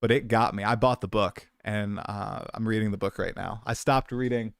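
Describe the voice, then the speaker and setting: A man's voice: on the low side, steady tone, normal speed, creaky, fairly bright tone, smooth, dry recording, clean studio sound. A man in his late twenties hosting a casual livestream, chatty and self-deprecating, coming down with a cold. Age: 20s. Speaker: streamer host.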